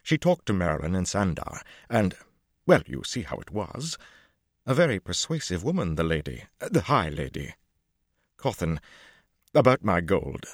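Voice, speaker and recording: A man voicing an excitable, fawning male showman who gushes and scrapes before nobility. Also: deep voice, moderate pace, no room sound, studio quality, pitched above the performer's own voice, heavily acted. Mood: gushing. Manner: gushing, bowing and scraping, breathless excitement.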